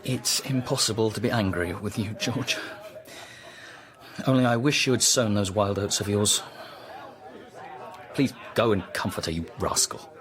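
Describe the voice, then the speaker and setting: A man's voice: fairly low pitch, a little slow, long pauses, crowd noise, lightly acted. A man playing an honourable, long-suffering young English army officer in a period radio drama, earnest and reproving. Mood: resigned, fond.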